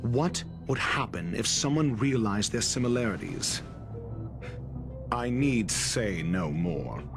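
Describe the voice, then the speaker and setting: An actor playing a confident, theatrical detective in a video game, speaking in a measured, emphatic way. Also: male voice, medium pitch, lively intonation. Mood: dramatic deduction. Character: theatrical male detective solving a mystery, confident, deliberate. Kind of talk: dramatic video game voice acting. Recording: game audio with music behind. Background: background game music.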